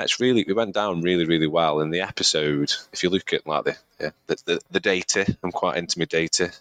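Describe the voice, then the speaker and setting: A chatty man with a very deep voice, co-hosting a podcast. He talks casually and loosely, circling back with restarts. The recording is close and dry. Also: fairly bright tone, no echo. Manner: chatty, rambling with restarts and laughs. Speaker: podcast co-host, amateur runner. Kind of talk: casual chat.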